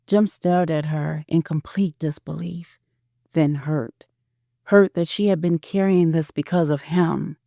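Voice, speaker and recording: A woman with a very low voice narrates a scripted audio drama. The recording is very dry and close, with no room around her. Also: fairly even intonation, measured pace, vocal fry, mellow, no room sound.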